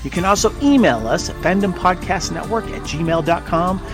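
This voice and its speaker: A man's voice: sits high, lively intonation, normal speed. A man reading a scripted network promo in an upbeat announcer manner. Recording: music bed under the voice.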